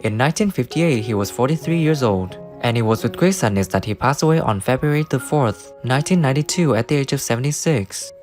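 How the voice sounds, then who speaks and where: A man's voice: medium pitch, lively intonation, quick pace, few pauses, darkish tone. A man reading scripted biographical narration. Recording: music under the voice. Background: guitar music.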